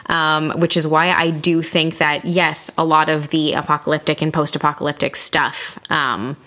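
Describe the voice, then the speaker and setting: A woman's voice: low-pitched, level tone, moderate pace, sharp tone. A woman co-hosting a book podcast, chatting casually and expressively, explaining things at length with plenty of asides. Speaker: podcast co-host.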